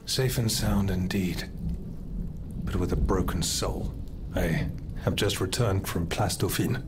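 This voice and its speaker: A man's voice: low voice, takes it slowly, background noise. A man voicing a grave, honorable, world-weary military commander in a video game, speaking solemnly and formally. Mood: mournful. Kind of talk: video game cutscene acting.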